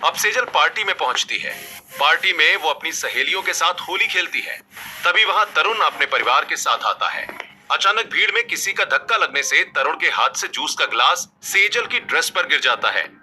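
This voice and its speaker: Bright, high-voiced man narrating a story. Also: animated, normal speed. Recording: faint background music.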